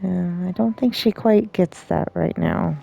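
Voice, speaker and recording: A woman's voice: lowish voice, unhurried, gravelly, warm tone. A woman giving casual, giggly live commentary while playing a game, with little reactive interjections.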